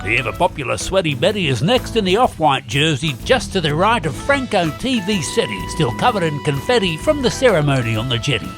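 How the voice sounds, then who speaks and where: A man playing an excitable sports commentator in a parody race call, reeling off rider names theatrically. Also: on the high side, fairly quick, few pauses, music in the background. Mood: building, eager.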